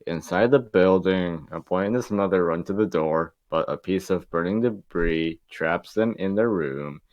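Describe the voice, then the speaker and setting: A man's voice: deep voice, normal speed, no echo, highs cut off. A man reading stage directions as the narrator of an amateur table read.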